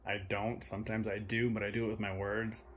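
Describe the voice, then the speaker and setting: A young man filming a ghost-hunting vlog in a dark abandoned farmhouse, talking to spirits and loudly challenging them. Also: on the low side, little pitch movement, fast, slight background noise. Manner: loud provoking challenges to the spirits. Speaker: paranormal investigator.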